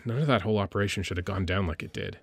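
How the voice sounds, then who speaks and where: Man acting as low, gravelly space-military medic. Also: very fast, heavily acted. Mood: curious, suspicious. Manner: informal, hesitant, teasing, conversational. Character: caring, teasing male space-military medic lieutenant, playfully gruff. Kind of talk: intimate ASMR audio roleplay acting.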